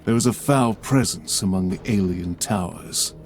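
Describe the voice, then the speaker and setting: An actor playing a young warrior priest, formal and dutiful. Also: male voice, lowish voice, moderate pace, darkish tone, close to an everyday voice. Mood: grave. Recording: game cutscene with music.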